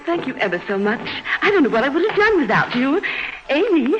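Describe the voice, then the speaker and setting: A woman's voice: sits high, big pitch swings, brisk. A woman playing a haughty, wealthy society matron in an old-time radio drama, imperious and theatrical. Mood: gushing, grateful. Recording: muffled vintage radio recording.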